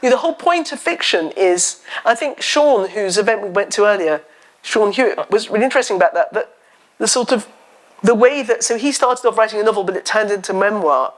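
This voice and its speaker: A woman novelist with a loud voice, talking at a live festival event on stage into a microphone, chatty and funny as she tells stories. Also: mid-range voice, fairly quick. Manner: chatty, animated storytelling with self-deprecating humour. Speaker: novelist guest. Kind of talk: live on-stage literary chat. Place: festival stage before an audience.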